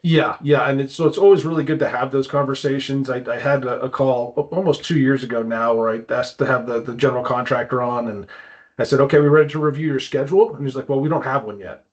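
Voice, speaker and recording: A man's voice: medium pitch, quick pace. Man explaining casually, dull lo-fi audio. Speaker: climbing wall consultant, guest.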